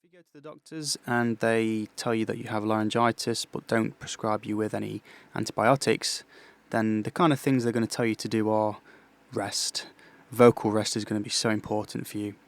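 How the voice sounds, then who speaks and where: A man working as a vocal coach, calmly explaining vocal health in a podcast lesson, measured and explanatory, restarting his phrases often. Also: lowish voice, dry recording.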